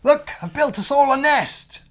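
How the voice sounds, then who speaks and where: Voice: high voice, animated, a little slow, fairly bright tone, voice pushed up. A man voicing an eager, innocent young crocodile in a storybook, wondering and exclaiming as he goes. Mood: glad, proud.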